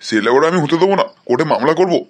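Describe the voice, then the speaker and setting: A man's voice: fairly high pitch, animated, very fast. A man playing a stern, indignant old grandfather who scolds his family in a comedy cartoon. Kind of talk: adult comedy cartoon dialogue in Bengali.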